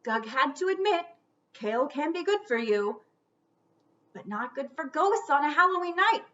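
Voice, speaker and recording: A woman reading a children's storybook aloud theatrically, stretching out words and dropping into hushed whispers for suspense. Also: high-pitched, very animated, normal speed, lo-fi sound.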